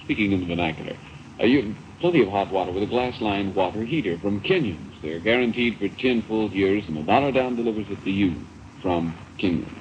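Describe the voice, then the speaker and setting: A man's voice: fairly low pitch, lively intonation, a little fast. An American man hosting a radio show with casual chat and wry asides, on an old aircheck recording. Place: radio studio. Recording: noisy, dull 1960s radio aircheck.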